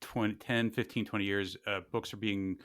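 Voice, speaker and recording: A man asking casual interview questions as a podcast host, haltingly searching for words. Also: lowish voice, level tone, a bit bright, clear, resonant tone, no room sound.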